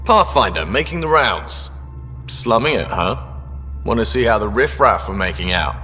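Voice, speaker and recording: A man's voice: on the low side, lively intonation, moderate pace, sharp tone, big-room sound, soft background music. An actor voicing a cocky, wisecracking, restless ship's crewman in a video game, informal and sardonic. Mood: cocky, teasing. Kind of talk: video game dialogue acting.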